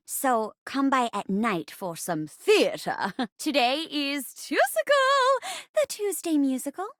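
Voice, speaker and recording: High, animated, flirty theatre chair. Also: female voice, no echo, clean studio sound. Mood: showy, inviting. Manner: bubbly, theatrical, stammering when flustered. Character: living chair, eager theatre actress, flustered and flirty. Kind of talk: video game character voice acting.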